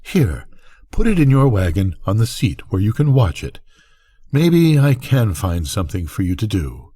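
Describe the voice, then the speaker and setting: An eager farm woman from a bedtime story, acted out by an older man. The delivery swings widely in pitch and has a soft, husky grain. The character is intense and passionate about growing things. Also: sing-song, normal speed. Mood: brisk.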